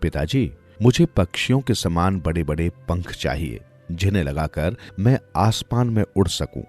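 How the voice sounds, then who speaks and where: Man reading as fanciful young princess, low-pitched. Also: expressive, a little slow, darkish tone, soft background music. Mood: wishful. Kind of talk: storybook character dialogue.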